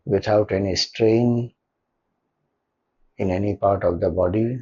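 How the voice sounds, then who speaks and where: A man with an Indian accent leading a guided meditation as a spiritual teacher, speaking calmly and gently with deliberate pauses. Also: fairly low pitch, husky, lo-fi sound.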